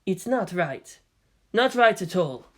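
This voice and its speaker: A man's voice: high-pitched, big pitch swings, slow pace, exaggerated voice. Man playing a sceptical, uneasy soldier in his forties. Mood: troubled.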